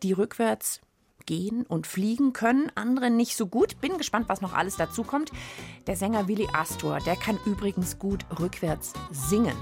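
A woman hosting a children's podcast in a playful, conversational presenting style. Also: medium pitch. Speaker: host of a children's science podcast.

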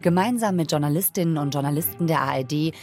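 Low-voiced female podcast host. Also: fast, darkish tone. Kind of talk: scripted podcast promo. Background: light music bed.

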